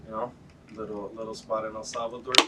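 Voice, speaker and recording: A man's voice: lowish voice, little pitch movement, fast. A man from Los Angeles, a guest in a casual chat, laid-back and rambling, swearing often. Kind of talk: casual chat over a beer pong game. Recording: distant mic with loud background noise.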